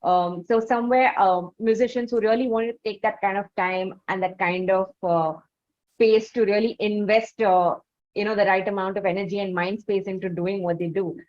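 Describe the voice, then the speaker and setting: A woman with an Indian accent hosting an interview, putting long, winding, halting questions in a conversational way, on a lo-fi recording. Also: mid-range voice, steady tone. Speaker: interviewer, host. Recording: dull, lo-fi audio.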